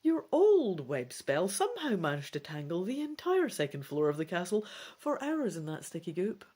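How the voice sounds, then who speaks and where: A woman's voice: on the low side, huge pitch swings, normal speed, mellow, husky, exaggerated voice. A woman voicing a stern, teasing two-hundred-year-old female elf tutor in a dramatic reading of a fantasy gamebook. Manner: whispering sharply at times, admonishing.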